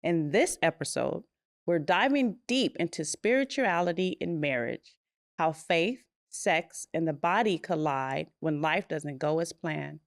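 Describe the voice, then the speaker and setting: Low, gravelly-voiced woman hosting a podcast. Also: lively intonation, unhurried, no echo, clean studio sound. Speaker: podcast host and attorney. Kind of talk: podcast interview.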